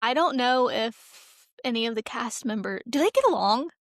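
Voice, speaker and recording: Podcast co-host, young woman, slightly high voice, animated slangy chat, dry recording. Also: normal speed, no echo. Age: young adult. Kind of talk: casual pop culture chat.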